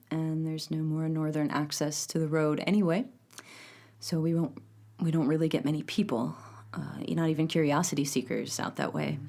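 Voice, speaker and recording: A distinctly low-pitched woman, an actress as a composed official in an audio drama. She answers like an interviewee, measured and matter-of-fact. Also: darkish tone. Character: composed female official giving a sober interview.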